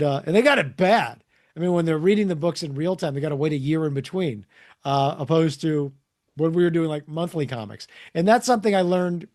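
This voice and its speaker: Middle-aged male author, interview guest. Slightly high voice, casual and animated storyteller who acts out conversations. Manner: animated storyteller, acting out dialogue. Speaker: guest, comics and children's book author.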